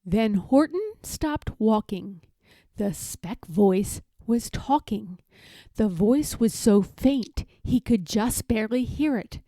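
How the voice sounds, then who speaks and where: A woman reading a children's storybook aloud as storyteller, in a careful, deliberate and expressive way. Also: on the high side, dry recording.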